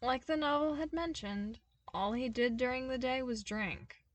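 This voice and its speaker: Woman reading fan fiction aloud, expressive. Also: sits high, normal speed, dry recording. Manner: animated reading with exasperated comic outbursts. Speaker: podfic reader.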